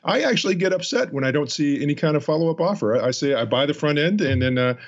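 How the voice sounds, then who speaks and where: A man's voice: fairly high pitch, expressive, quick pace, dull audio. A male interviewer who rambles through his questions in a chatty way and teases his guest. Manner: rambling, teasing. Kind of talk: casual conversation.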